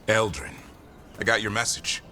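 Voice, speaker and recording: An actor playing a proud elf monster hunter in a video game, gruff but fond, bristling when teased. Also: male voice, on the low side, level tone, moderate pace, bright, forceful tone, soft background music. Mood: fond, earnest.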